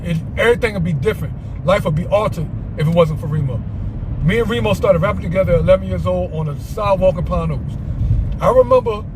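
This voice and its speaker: A man's voice: high-pitched, background noise. A man casually telling an off-the-cuff story, rambling and repeating himself. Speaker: storyteller vlogger.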